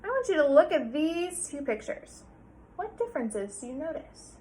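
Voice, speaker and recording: Animated, high-voiced woman teacher reading aloud. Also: normal speed, poor audio. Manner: expressive, explanatory, prompting with questions. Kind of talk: picture-book read-aloud with questions to young listeners.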